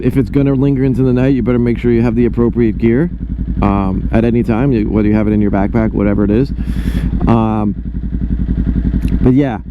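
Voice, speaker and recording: A man's voice: medium pitch, dull audio. A man casually telling a self-deprecating personal story as a motorcycle vlogger, informal and freely swearing. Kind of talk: casual comic anecdote while riding. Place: outdoors, riding a motorcycle on the road. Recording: loud motorcycle engine and road noise. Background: loud engine, traffic and outdoor road noise.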